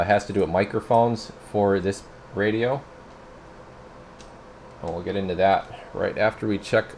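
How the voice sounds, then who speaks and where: A man's voice: lowish voice, a little hiss or hum. A man giving a casual hands-on product demo in an informal, rambling way, talking to viewers as guys. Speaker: radio repairer and product reviewer.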